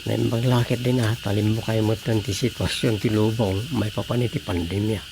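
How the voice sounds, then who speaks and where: A man's voice: fairly low pitch, steady tone, moderate pace, creaky, noisy background. A man acting in an Ilocano radio drama as a chatty, reflective village man, with an Ilocano Filipino accent. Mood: somber, reflective.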